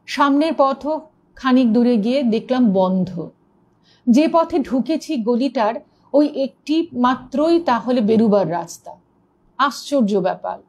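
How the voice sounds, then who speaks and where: A woman narrating a ghost story in an expressive, suspenseful storytelling manner. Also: a little high. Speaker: story reader.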